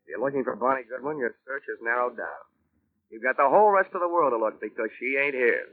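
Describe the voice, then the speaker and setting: A man's voice: medium pitch, lively intonation, fast, lightly acted. An American man acting a tough, wisecracking insurance investigator in a 1940s radio detective drama, wry and hard-boiled. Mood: sardonic, cool. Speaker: lead actor and narrator. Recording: tinny, narrow-band old radio recording.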